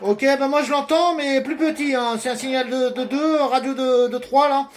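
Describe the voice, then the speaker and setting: Male CB operator, strikingly high voice, casual radio chat, faint noise behind. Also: steady tone, moderate pace.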